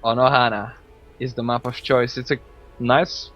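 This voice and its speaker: A man's voice: medium pitch, normal speed. Man, from Belgium, non-native English, esports caster, chatty, dull lo-fi sound, faint music. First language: not English. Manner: relaxed, chatty, frequent fillers and laughs. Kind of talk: live game commentary.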